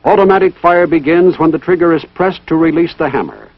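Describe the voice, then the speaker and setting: Training film narrator: male, higher-pitched, formal and methodical, lively intonation, dull old soundtrack. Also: a little fast, no room sound. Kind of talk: scripted instructional narration. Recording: dull, band-limited vintage military film soundtrack.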